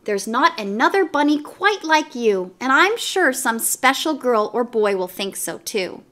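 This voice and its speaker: A woman's voice: high voice, sing-song, measured pace, fairly bright tone, heavily acted. A woman voicing a kind rag doll who speaks in an earnest, reassuring way. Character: kind rag doll girl, warm and encouraging.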